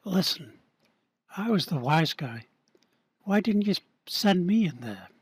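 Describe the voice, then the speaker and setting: A frightened young wise guy played by a man pleads in a radio play. His voice is husky and a little high. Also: sing-song, measured pace, heavily acted. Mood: urgent.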